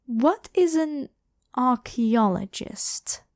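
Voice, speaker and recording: A woman's voice: sits high, lively intonation, very slow, no echo, clean studio sound, heavily acted. A woman voicing an evil ancient pharaoh in an ASMR roleplay, imperious, demanding and scornful as she addresses the listener. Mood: imperious, menacing. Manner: haughty, commanding, theatrically scornful.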